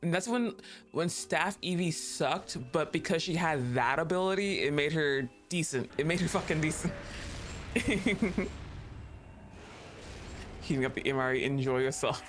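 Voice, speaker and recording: Excitable male streamer chatting, music behind. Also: on the high side, measured pace, long pauses. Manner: excitable, laughs often, swears casually. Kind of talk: casual livestream chat.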